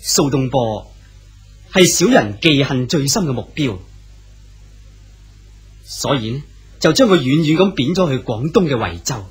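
A man's voice: mid-range voice, big pitch swings, measured pace, long pauses, slightly rough, faint music behind. A man narrating a radio drama in a scripted storytelling manner.

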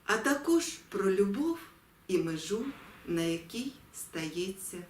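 Slow, solemn woman reciting in echoey room. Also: mid-range voice, very animated, slight background noise. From Ukraine. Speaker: poetry reader. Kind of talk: solemn poetry recitation with spoken introduction. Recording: echoey room, distant mic, music behind.

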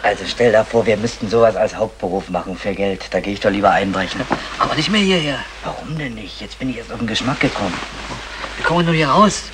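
An actor playing a nervy, cheeky small-time burglar in a vintage German crime radio comedy. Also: male voice, lowish voice, expressive, quick pace, natural voice. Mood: relieved, cheeky. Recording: noisy vintage radio drama, clicks.

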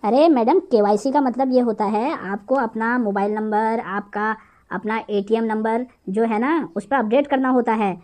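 Woman acting male scam caller, raised pitch, officious, persistent, wheedling, comic cartoon. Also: steady tone, moderate pace, darkish tone, close to an everyday voice. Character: pushy male phone scammer posing as bank manager.

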